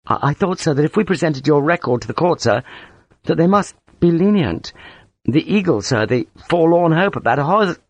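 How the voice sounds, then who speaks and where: A man's voice: a little high, animated, moderate pace, no echo, lo-fi sound, voice pushed up. A man voicing a timid young army lieutenant, hesitant and deferential, with a nervous stammer, in an audiobook story. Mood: anxious, hesitant.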